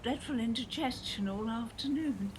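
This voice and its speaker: An actress playing a meek, nervy grown-up English daughter in an audio play, apologetic, hesitant and fretful. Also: female voice, on the high side, moderate pace, close to an everyday voice. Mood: fretful, resigned. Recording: background noise, traffic ambience.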